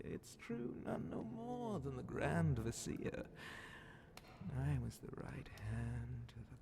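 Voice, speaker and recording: A man voicing a sly, menacing demon Grand Vizier of hell in a breathy, theatrical half-whisper for an ASMR horror fantasy roleplay. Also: mid-range voice, noisy background. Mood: grandiose, sly. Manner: slow, theatrical, drawn-out, mockingly polite.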